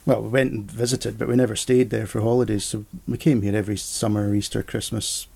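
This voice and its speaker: Man, middle-aged, gravelly, dark mellow tone, easygoing. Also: on the low side, little pitch movement, a little fast. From Scotland. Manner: easygoing, rambling storyteller. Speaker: interview guest. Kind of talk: casual conversation.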